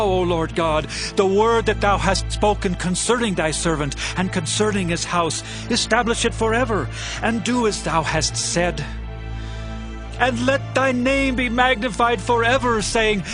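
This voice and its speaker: High-voiced, devout praying king. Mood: fervent, pleading. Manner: reverent, impassioned. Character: humble, fervent king at prayer, devout and awed. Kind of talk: dramatized audio Bible acting. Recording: faint music bed behind voice.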